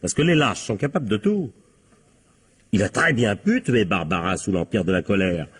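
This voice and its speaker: An actor playing a sardonic police captain in a French radio crime drama, husky and colloquial, prodding with short tag questions. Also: male voice, fairly low pitch, animated, normal speed. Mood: forceful, accusing. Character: male police captain, insistent, sardonic interrogator.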